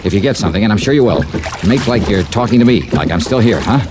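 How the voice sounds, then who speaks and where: A man's voice: fairly low pitch, animated, quick pace, heavy background noise, natural voice. An American man acting a shrewd, cool-headed insurance investigator in a 1950s radio drama, narrating his own case in hard-boiled style. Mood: hushed, urgent. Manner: hard-boiled first-person narration, clipped dialogue. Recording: old radio recording with loud music.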